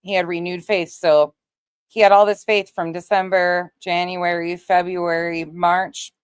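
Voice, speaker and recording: Low-pitched woman giving opinionated commentary. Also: level tone, measured pace, smooth, dry recording. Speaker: commentator on an online true-crime talk show. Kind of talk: casual commentary on played clips.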